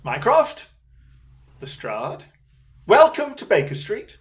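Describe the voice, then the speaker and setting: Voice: mid-range voice, huge pitch swings, slow. A performer playing a keen, incisive male detective in a radio drama, musing theatrically, on a muffled recording. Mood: excited, brisk.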